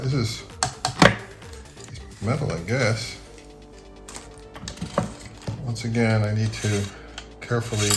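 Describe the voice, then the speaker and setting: A man narrating a casual hands-on how-to, unhurried and thinking aloud as he works. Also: on the low side. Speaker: repair tutorial presenter.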